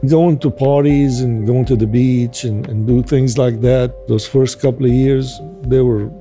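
A man's voice: medium pitch, steady tone, a little slow, dark tone, faint music behind. A man in his sixties from Syria, speaking English with a Syrian Arabic accent, earnestly recalling his youth in an interview. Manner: earnest, reflective storytelling. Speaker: interviewee, father. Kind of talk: interview recollection.

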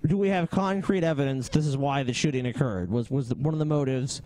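A man's voice: medium pitch, a little fast, mellow, rough recording. A man with a nasal voice in casual, skeptical, argumentative podcast chat. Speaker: podcast co-host.

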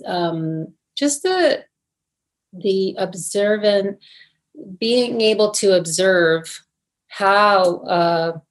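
A middle-aged woman from New Jersey, an actress answering interview questions in a thoughtful, hesitant way with many fillers and restarts. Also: mid-range voice. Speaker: actress, guest.

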